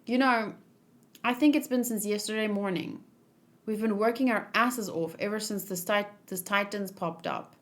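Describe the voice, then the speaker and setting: A woman's voice: medium pitch, normal speed, natural voice. A weary young soldier from a manga, read aloud by a woman. He is cocky and oblivious, grumbling casually and sounding pleased with himself.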